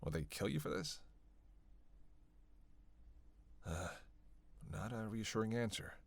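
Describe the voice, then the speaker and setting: A man's voice: fairly low pitch, dry recording. A man playing a witty, bloodthirsty vampire in a teasing roleplay monologue, sardonic and conversational. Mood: mocking. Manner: sardonic, playful, conversational with sighs and pauses. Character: teasing, witty, bloodthirsty male vampire, centuries-worn cynic. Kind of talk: flirtatious dark roleplay monologue to one listener.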